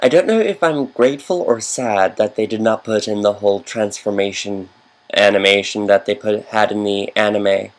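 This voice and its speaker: A man with a fairly low voice talks casually over a video game. He rambles like a devoted fan, drifting from one aside to the next. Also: normal speed, rough recording. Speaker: game commentator.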